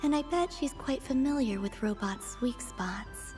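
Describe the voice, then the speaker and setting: A woman voicing a gentle, innocently curious young goddess in a video game, speaking politely and hesitantly. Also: high-pitched, a little slow. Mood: pondering. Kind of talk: soft-spoken video game voice acting. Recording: music under the voice.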